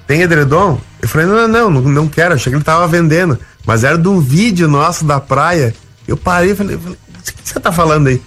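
A man's voice: a little high, fast talker, soft background music. A man with a gaúcho southern Brazilian accent, telling stories casually as an interview guest and acting out dialogue. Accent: Gaúcho Brazilian Portuguese. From Rio Grande do Sul, Brazil. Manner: animated, acts out quoted dialogue. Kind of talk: casual storytelling.

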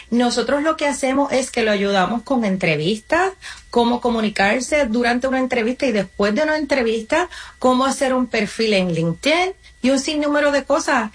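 A woman with a fairly high voice speaks as an interview guest. She gives practical explanations, leaning on examples and talking straight to the listener. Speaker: guest, community nonprofit representative. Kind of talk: interview answers, explanatory advice.

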